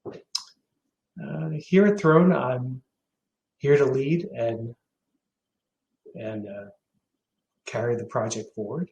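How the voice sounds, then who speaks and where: A man in his forties from Hong Kong, answering questions as a company CEO on a video call, pausing with many filler sounds. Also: medium pitch, gravelly, a little mellow, echoey room, lo-fi sound. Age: 40s.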